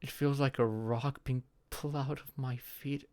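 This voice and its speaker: A man performs a shy, anxious young man in an intimate audio roleplay. He talks slowly and haltingly at a middling pitch, with an emotionally fragile delivery. The sound is very dry, with no room around the voice. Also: steady tone, a little mellow, no echo, close to an everyday voice. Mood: downcast, fragile. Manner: halting, sighing, breathy murmurs rising to tearful outbursts. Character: shy, anxious young man confiding in his closest friend.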